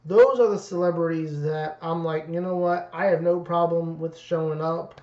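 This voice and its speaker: A man reviewing wrestling in a casual, rambling way, his voice raspy and worn. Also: on the high side, fairly even intonation, moderate pace, a little mellow. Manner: rambling, many fillers and restarts. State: raspy voice from two nights of events. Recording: dull roomy lo-fi audio.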